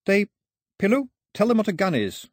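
A male narrator plays a young woman in a storybook adventure. The voice is lifted high and husky-soft, urgent and articulate. She pleads and bargains defiantly with sweeping intonation. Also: sing-song, normal speed, dry recording, clean studio sound.

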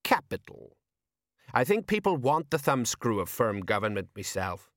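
A man playing a measured, sardonic aristocratic ruler. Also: medium pitch, sing-song, no echo, studio quality, close to an everyday voice. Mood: cool amusement. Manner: measured, deliberate, drily sardonic. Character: urbane, dryly ironic male aristocratic ruler.

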